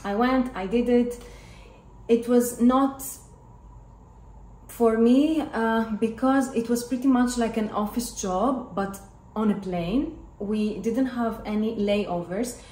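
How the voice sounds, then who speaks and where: A woman in her twenties with a Greek accent talks casually to camera in a vlog. Her voice is mid-pitched. It is recorded in an echoey home room, with noise clearly behind her. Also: darkish tone. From Greece. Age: 20s. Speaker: vlogger and former flight attendant. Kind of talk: casual personal storytime to camera. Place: hard-floored living and dining room at home. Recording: roomy echo with clear background noise.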